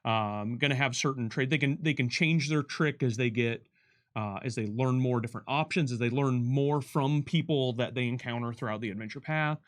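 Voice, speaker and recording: Man explaining in halting, casual style. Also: mid-range voice. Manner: explanatory, halting, thinking aloud. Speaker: game developer on a livestream panel. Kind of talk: casual discussion answering viewer questions.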